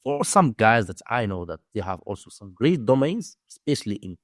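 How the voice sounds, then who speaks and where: Low-voiced Moroccan man, halting English. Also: sing-song, no echo, clean studio sound. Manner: halting, searching for words in non-native English. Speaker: interview guest, domain investor and teacher. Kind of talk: conversational interview answers.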